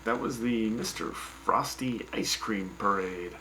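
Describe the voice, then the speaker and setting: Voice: medium pitch, slow pace, heavy background noise. A performer voicing a wry, teasing radio host who introduces old jingles. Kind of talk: fan radio drama.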